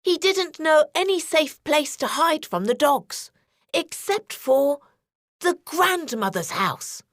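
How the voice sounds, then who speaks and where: A woman narrating a scripted children's story in an expressive, deliberate way. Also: high-pitched, no echo, studio quality.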